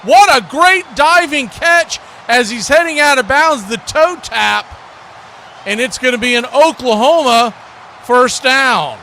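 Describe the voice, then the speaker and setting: A man's voice: very high-pitched, animated, unhurried, bright, forceful tone, slight background noise. A man doing live football play-by-play, mixing clipped calls with folksy, chatty asides. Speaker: play-by-play announcer and stream host.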